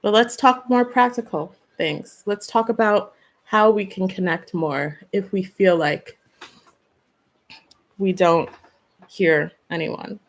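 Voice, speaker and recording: A woman hosting a live stream as a spiritual channeler. She talks in an earnest way, asks questions, gives gentle advice and answers viewers by name. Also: mid-range voice, slow, low-quality recording.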